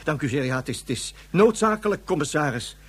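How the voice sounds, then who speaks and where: An actor playing a dim, skeptical police inspector in a science fiction radio play, slightly husky, repeating himself and easily flustered. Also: male voice, a little high, animated, brisk. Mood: nervous, stammering. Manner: hesitant, stammering, repeating himself.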